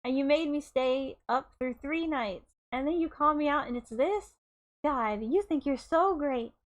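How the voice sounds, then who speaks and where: A woman's voice: high-pitched, unhurried. A woman voicing an exasperated, overworked young woman who scolds indignantly, as in a novel reading. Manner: shouty, indignant.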